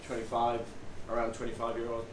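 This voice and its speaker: A man's voice: medium pitch, completely flat delivery, a little fast. A middle-aged British man who works as a bartender and artist, giving an informal talk to a group and often repeating words as he goes. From United Kingdom. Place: small bar, indoors. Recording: roomy, distant mic, noisy bar ambience.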